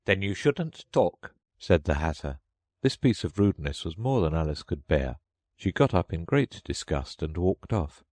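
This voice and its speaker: A man narrating a children's audiobook in a gentle, expressive storybook read. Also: rumbling low, moderate pace, no room sound.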